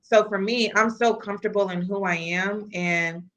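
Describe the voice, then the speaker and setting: A woman's voice: mid-range voice, fairly even intonation, moderate pace, fairly bright tone. A young woman from the American Midwest, a podcast guest speaking earnestly and reflectively, with frequent "you know" fillers. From Kansas City, US Midwest. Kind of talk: casual conversational interview.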